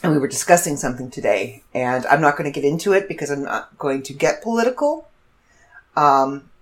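A woman in her fifties chats alone as a podcast host, her voice stuffy and congested. She rambles and corrects herself as she goes, her low voice swinging up and down in pitch. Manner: rambling, self-correcting storyteller. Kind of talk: casual solo chat.

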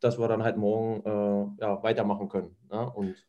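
A man's voice: lowish voice, little pitch movement, brisk, warm tone, lo-fi sound. A man chatting as a guest, easygoing and hesitant with many fillers. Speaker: guest, ice hockey player.

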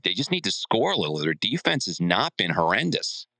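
Deep punchy guy hosting sports chat, keeps repeating himself, dry close sound. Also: big pitch swings, gravelly, pushed and bright, no room sound. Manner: halting, repetitive, prodding questions. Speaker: sports podcast host. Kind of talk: casual sports discussion.

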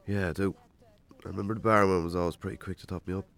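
An actor playing a hungover, defensive Irish farmer in a naturalistic radio drama. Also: male voice, low-pitched, fairly even intonation, racing, creaky, a bit bright. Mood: worried, groggy. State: hungover, groaning.

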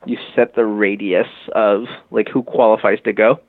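Guest interviewee: lowish gravelly male voice, casual explainer, dry phone-line sound. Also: normal speed, no room sound. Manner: relaxed, frequent fillers like "you know" and "um". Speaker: guest, music marketing tech company founder. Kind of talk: conversational interview, explaining a product.

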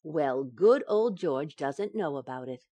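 Flippant young sitcom housewife, played by an actress: slightly low, very lively pitch, breezy wisecracks. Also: female voice, takes it slowly, breathy edge, no echo, muffled recording, heavily acted. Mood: cheerful, matter-of-fact. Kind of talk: radio sitcom acting.

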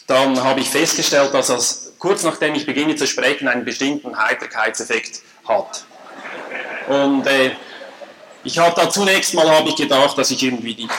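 A man's voice: medium pitch, background noise. Swiss-accented man giving a lecture. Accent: Swiss German. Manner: measured, dryly humorous, formal phrasing. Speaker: conference speaker. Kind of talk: academic lecture. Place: lecture hall.

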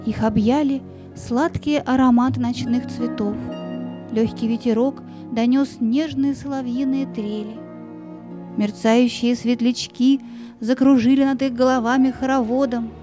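A woman's voice: fairly high pitch, fairly even intonation, measured pace. A woman narrating a scripted fairy-tale audio play as a storyteller, with music behind her.

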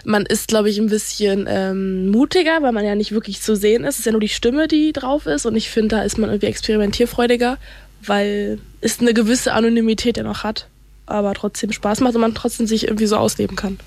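A woman's voice: mid-range voice, fairly even intonation, brisk, darkish tone, dry recording. A young woman, a student, answering casually in an interview. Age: young adult.

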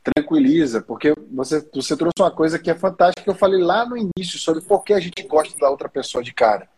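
A man's voice: on the high side, lively intonation, fast talker, darkish tone, breathy edge. A man from Maceió with a Northeastern Brazilian accent, interviewing a guest in a casual, joking, self-deprecating way. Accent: Northeastern Brazilian (Alagoas). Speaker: interviewer and speaker. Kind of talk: casual conversation.

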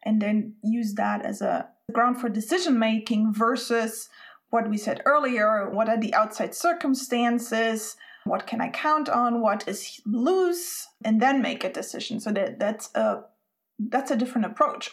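A woman's voice: fairly high pitch, expressive, unhurried. A woman from Spain, hosting a podcast interview and thinking aloud in a reflective way. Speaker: podcast host.

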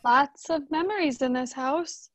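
Woman acting a high-voiced, hesitant wife. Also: no echo. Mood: wistful, affectionate. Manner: hesitant, halting. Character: Hannah, unsettled married woman, a grown daughter. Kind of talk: radio drama acting.

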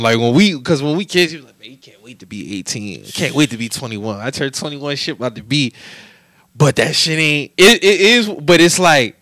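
An American man in his late twenties speaks in a casual, candid conversation. His pitch sits mid-range and the sound is dry. He leans on slang and swearing, often checking that the listener follows. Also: a bit bright, no room sound. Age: late 20s. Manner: heavy slang and profanity, repeated check-in phrases.

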